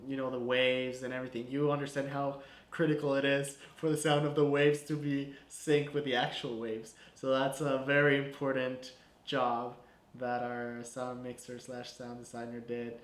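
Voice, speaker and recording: A young man talks through his filmmaking in a loose, chatty way, leaning on "like" and "you know". His voice sits at an ordinary middle pitch for a man. The sound carries a roomy echo. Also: level tone, unhurried. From Guatemala. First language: Spanish. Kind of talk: casual breakdown of his short film. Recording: echoey room.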